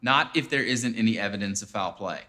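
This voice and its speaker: Terse noir private eye, deadpan and clipped, lowish and bright, played by a man. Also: brisk. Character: hardboiled male private detective, dry and terse. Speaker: detective. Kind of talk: parody noir acting.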